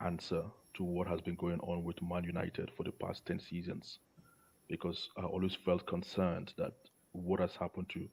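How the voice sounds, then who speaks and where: A man on a casual football panel, talking haltingly and often repeating the starts of his words. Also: sits low, gravelly, darkish tone, a little hiss or hum. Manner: halting, stuttered word starts. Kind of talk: casual sports discussion.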